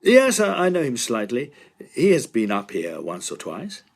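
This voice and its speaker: A man's voice: medium pitch, huge pitch swings, moderate pace, vocal fry, full character voice. A man playing a serious, sceptical young Victorian medical student in an audio drama, terse and guarded.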